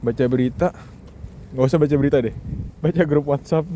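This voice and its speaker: A man's voice: medium pitch, brisk, warm tone, a little hiss or hum. A young man from Indonesia talks casually in a joking monologue vlog, mixing in English words. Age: young adult. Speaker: vlogger, international student.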